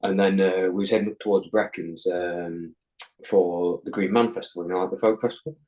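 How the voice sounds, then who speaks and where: Deep-voiced Englishman telling a rambling story. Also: fairly even intonation, brisk, a little mellow, poor audio. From England. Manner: rambling, swears constantly, many ums and restarts. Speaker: podcast guest. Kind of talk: casual chat, telling an anecdote.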